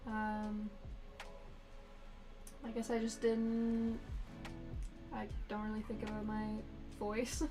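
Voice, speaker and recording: A woman artist chatting with viewers on a livestream as she draws, in a soft, flat, monotone voice. She reads viewer comments aloud in a low-key way. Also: takes it slowly, pauses often, music in the background, low-quality recording. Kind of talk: casual livestream chat while drawing.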